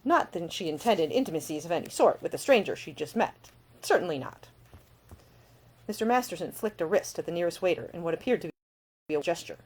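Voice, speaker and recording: A woman narrates scripted fiction as its author. Her voice sits a little low but moves with plenty of melody. The delivery is expressive storytelling. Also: brisk, rough recording. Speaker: author reading own audiobook.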